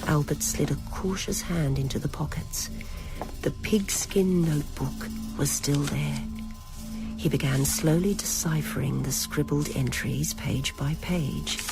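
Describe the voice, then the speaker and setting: A storyteller reads literary fiction in a measured, scripted style. The voice sits fairly high in its register, with music playing clearly behind it. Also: low-quality recording. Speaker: narrator. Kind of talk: scripted audiobook-style narration of a novel.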